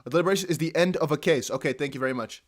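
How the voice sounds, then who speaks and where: A man with a Dutch accent, a native Dutch speaker, giving chatty, excitable live commentary on a court hearing. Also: on the high side, racing. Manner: excitable, chatty, laughs often. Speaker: crypto news streamer.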